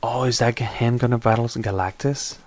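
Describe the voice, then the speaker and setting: A man with a slight accent giving relaxed, rambling commentary while streaming a game live, often restarting his sentences. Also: mid-range voice, normal speed, a little hiss or hum. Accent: non-native English accent. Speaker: tech streamer.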